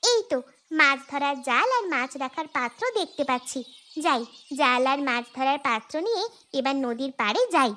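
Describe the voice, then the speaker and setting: Woman voicing a very high-pitched earnest young schoolgirl. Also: animated, moderate pace, pushed and bright. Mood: eager. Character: young educated village schoolgirl, helpful, determined younger sister. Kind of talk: cartoon fairy-tale dialogue acting.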